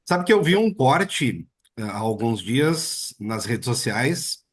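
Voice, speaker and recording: A man hosting an interview in a relaxed, chatty way, often tagging his sentences with "né". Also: mid-range voice, slow pace, breathy edge. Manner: relaxed, chatty, with many filler "né"s. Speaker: interviewer and host. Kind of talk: casual interview conversation.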